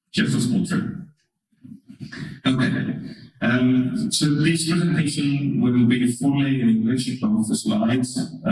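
Estonian-accented man in an echoing hall. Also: mid-range voice. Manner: relaxed, wry asides, frequent "right?" checks. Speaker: conference speaker. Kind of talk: conference keynote talk. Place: large conference hall, indoors. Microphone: handheld microphone through hall speakers. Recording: echoey hall, from far off.

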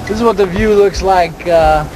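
A man's voice: high-pitched, normal speed, low-quality recording. A young man hosting a music countdown in an upbeat, announcer-like way from a concert venue. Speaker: band member co-hosting a countdown. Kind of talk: energetic show hosting.

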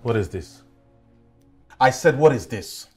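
A man acting in a film drama as a smooth-talking lover who gets evasive and defensive when cornered. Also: deep voice, animated, moderate pace, darkish tone, soft background music. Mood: angry, defensive.

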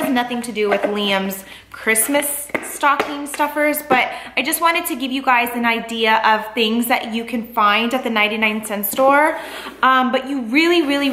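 A woman's voice: fairly high pitch, reverberant, noisy background. A young woman chatting casually in a vlog, bubbly and rambling, with lots of "like" and "um". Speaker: lifestyle vlogger. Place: store aisles, then a home living room.

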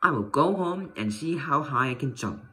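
A man's voice: fairly high pitch, animated, moderate pace, sharp tone, faint music behind. A man voicing an eager young boy in a children's storybook. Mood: eager, happy.

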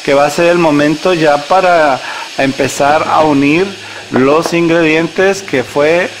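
A man's voice: on the high side, slow, a little hiss or hum, rough recording. A man hosting a cooking show, chatting casually and rambling, often correcting himself as he talks to the viewer like a friend.

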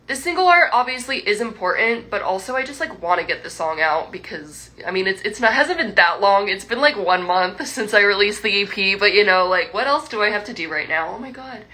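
A woman's voice: mid-range voice, bright, forceful tone. A young woman livestreaming from home, chatting to fans in a rambling, laughing way full of likes and restarts. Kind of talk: casual chat with viewers. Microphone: phone livestream.

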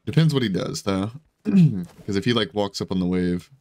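A man with a hoarse, scratchy voice giving casual, rambling commentary over his own gameplay, full of fillers and restarts. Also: lowish voice, expressive, moderate pace, a little mellow. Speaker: gaming video creator.

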